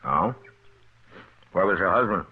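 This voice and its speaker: A man acting a calm, reasonable American frontier marshal in an old-time radio western, speaking in a measured, plainspoken way. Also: low voice, breathy edge, exaggerated voice. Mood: attentive. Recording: muffled, noisy old radio recording.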